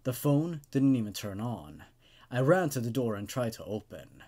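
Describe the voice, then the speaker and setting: Male host, animated swings in pitch, mid-range voice, emphatic, horror story narration and commentary. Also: normal speed. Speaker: host reading and reviewing horror stories. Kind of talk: dramatic story reading and casual review commentary.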